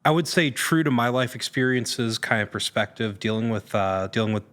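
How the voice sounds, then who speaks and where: A man of average pitch speaks as an actor guesting on a podcast. He talks casually and thoughtfully, feeling his way through his phrases. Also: normal speed, a bit bright.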